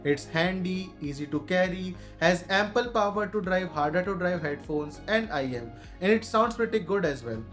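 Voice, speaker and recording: High-pitched Indian man reviewing audio gear. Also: lively intonation, normal speed, few pauses, a bit bright, soft background music. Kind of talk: scripted review narration.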